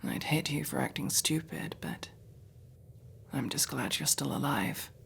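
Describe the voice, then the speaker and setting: A woman playing a prickly but protective masked elf in a fantasy audio roleplay, sharp-tongued and intense. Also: a bass voice, a little slow, gravelly, whispered, heavily acted. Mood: relieved, scolding. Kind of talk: dramatic ASMR fantasy roleplay acting.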